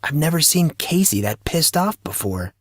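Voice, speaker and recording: An awkward, wisecracking schoolboy of fourteen in an audio story, acted out by a man. His pitch is lifted well up and his delivery is flippant and joking. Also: sing-song, moderate pace, dry recording, studio quality. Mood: earnest.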